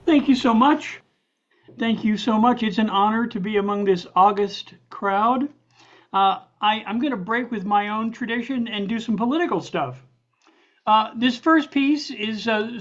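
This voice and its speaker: A man's voice: high voice, unhurried, a bit bright. A man performing political poetry at an online open mic, with a theatrical, declamatory and satirical rhyming delivery. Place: online video call. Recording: dull online call audio.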